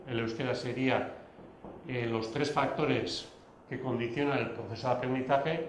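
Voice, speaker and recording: A man whose first language is Basque, giving a recorded video lecture, pausing often with fillers and restarts. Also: mid-range voice, echoey room, slight background noise, low-quality recording. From Basque Country. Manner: hesitant, many fillers and restarts.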